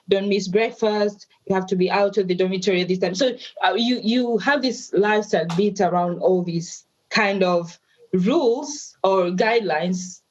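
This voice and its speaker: A woman, telling her life story as an interview guest in a reflective, measured way. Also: mid-range voice, dull audio. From Tanzania.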